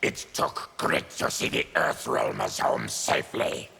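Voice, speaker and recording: A man voicing a bitter, proud mutant warrior in a fighting game, with a gravelly growl and a slow, menacing delivery. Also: rumbling low, animated, very noisy, exaggerated voice. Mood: solemn. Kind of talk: fighting game character dialogue.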